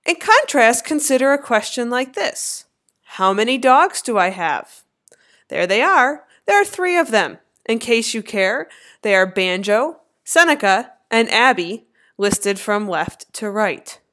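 A woman in her thirties narrating a slideshow lecture as an instructor, with clear, lively teacherly emphasis. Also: on the high side, unhurried. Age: 30s.

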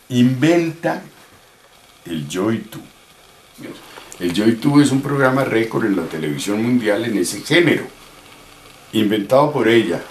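Older Colombian male, deep, emphatic storyteller, roomy sound with faint noise. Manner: emphatic, savouring phrases. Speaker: veteran radio actor reminiscing. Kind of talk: reminiscing interview. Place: living room, indoors.

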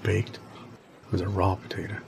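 A man improvising a weary, laconic drifter in a deadpan comedy sketch. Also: deep voice, moderate pace. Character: weary, deadpan male drifter hopping trains. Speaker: improv performer. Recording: clear background noise.

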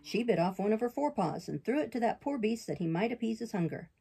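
A woman voicing a sly, flattering male fox in a storybook reading, speaking with smooth, theatrical sympathy. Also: on the high side, fast talker, full character voice.

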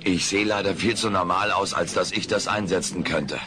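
A man's voice: low voice, moderate pace, crowd noise, natural voice. A man playing a smug, wisecracking furry alien comedian in a German audio drama. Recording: noisy old cassette audio drama.

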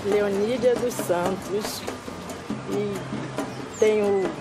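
Older Bahian woman over loud music. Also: mid-range voice, steady tone, very slow. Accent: Bahian Brazilian Portuguese. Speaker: Candomblé elder. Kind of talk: documentary self-introduction.

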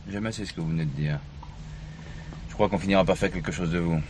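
An actor playing a gruff, authoritative police commissioner in a French radio play, brisk and commanding. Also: male voice, low-pitched, close to an everyday voice. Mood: measured, probing. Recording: noisy archive drama recording, vehicle ambience.

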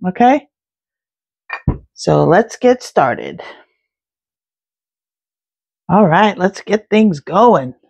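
A woman narrating a home cooking video in her kitchen, talking through each step in a relaxed way with small fillers. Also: lowish voice, extremely slow, vocal fry, long pauses, breathy edge. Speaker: home cook, channel host.

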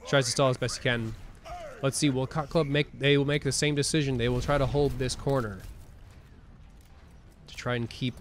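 A man casting a tournament match of a video game, with excited play-by-play and casual asides, sniffling from a cold. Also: medium pitch, normal speed, pauses often. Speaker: esports caster. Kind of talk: game commentary.

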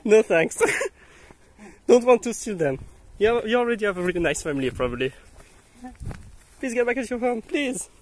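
Man, French native, high voice, very lively, giggly, outdoor handheld camera. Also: moderate pace, long pauses, slight background noise. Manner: giggly, coaxing, laughs often. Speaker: vlogger. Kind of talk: casual chat while hiking. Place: outdoors on a dirt hillside path. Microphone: handheld camera filming while walking.

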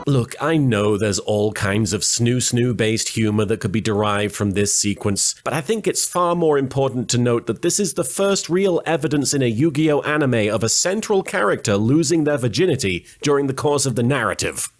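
A man giving scripted comedic recap narration as a host, in a dry, wordy, sardonic way. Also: medium pitch. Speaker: host of anime recap reaction series.

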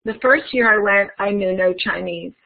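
A woman's voice: mid-range voice, normal speed, vocal fry. A woman giving a conference keynote as a webinar presentation, friendly and guiding the audience through an interactive activity. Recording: tinny phone-like webinar audio.

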